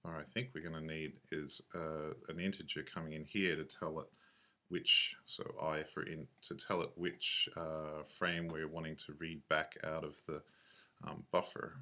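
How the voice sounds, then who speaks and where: The guy at the back of the computer music lab with a deep, bright-edged voice, casually talking you through a patch in slang as he clicks. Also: flat delivery, normal speed. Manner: laid-back, offhand, slangy. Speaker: software tutorial instructor. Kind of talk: casual screencast walkthrough, thinking aloud.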